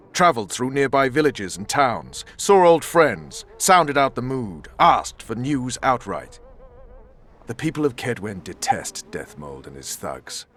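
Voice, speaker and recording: Hard, blunt soldier-spymaster with swinging pitch. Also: male voice, a bit bright, heavily acted. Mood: guarded, grim. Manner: blunt, intense, clipped. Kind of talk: video game voice acting.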